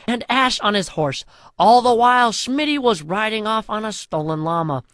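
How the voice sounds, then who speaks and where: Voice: medium pitch, fairly bright tone, no echo. A storyteller narrating a comic fantasy tale in a mock-solemn way.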